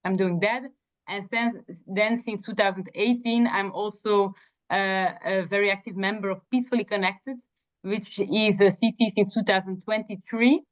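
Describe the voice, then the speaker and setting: A woman's voice: mid-range voice, steady tone, moderate pace. A young woman from Belgium, a native Dutch speaker, earnestly introducing herself in a job interview with many fillers and restarts. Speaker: job candidate. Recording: dull lo-fi sound.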